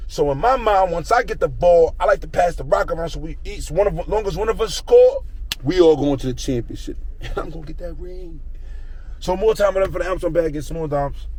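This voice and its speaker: A man's voice: a little high, brisk, darkish tone. Rambling street storyteller, slangy man. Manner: slangy, rambling, repeats a catchphrase. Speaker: rapper telling a story.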